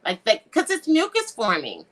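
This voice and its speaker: A woman's voice: on the high side, wildly swooping pitch, normal speed, a loud crowd. A woman hosting a casual health talk show, bubbly and speaking straight to the viewers. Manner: bubbly, addresses viewers directly. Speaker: host.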